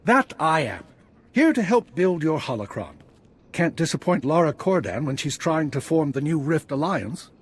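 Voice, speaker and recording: A man playing an eccentric, visionary Jedi master in a video game, guiding a student with expressive, theatrical delivery in a husky, rough-edged voice. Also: fairly high pitch, normal speed, heavily acted. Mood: eager, genial. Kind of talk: scripted video game dialogue.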